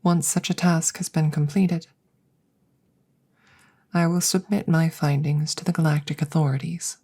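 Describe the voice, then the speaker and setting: A low female alien scientist, acted by a woman, detached and precise. The voice is husky and breathy with a crackle of fry, sometimes sinking into whispers. Each phrase is formal and deliberate, in the intimate manner of ASMR roleplay. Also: flat delivery, warm tone, exaggerated voice. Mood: clinical, matter-of-fact.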